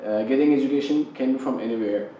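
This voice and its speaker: Halting man. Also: mid-range voice, mellow, distant mic, lo-fi sound, a little hiss or hum. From Pakistan. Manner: hesitant, halting, many fillers. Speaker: panelist. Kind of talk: personal discussion. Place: studio with panel on a couch. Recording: echoey studio sound from off-mic.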